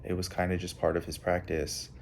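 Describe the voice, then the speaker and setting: A young man giving a prepared analysis as a student presenter, halting often with filled pauses. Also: low-pitched, flat delivery, a little hiss or hum. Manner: halting, hesitant delivery with frequent filled pauses.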